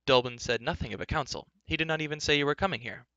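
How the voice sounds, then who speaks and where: A man voicing an eager, impetuous boy hero in a fantasy audiobook, earnest and excitable. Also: mid-range voice, very fast, gravelly, no room sound, muffled recording, heavily acted. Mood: indignant, eager.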